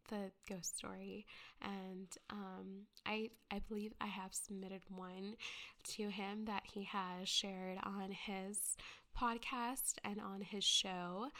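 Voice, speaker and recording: A young woman with a stuffy cold, chatting softly and half-whispering as a ghost story podcaster, rambling with many fillers and a girly voice. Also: medium pitch. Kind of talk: soft whispered casual chat.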